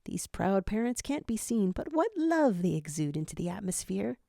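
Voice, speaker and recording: A woman's voice: medium pitch, sing-song, normal speed, vocal fry, mellow, dry recording, clean studio sound. A woman narrating a scripted story in a dramatic style.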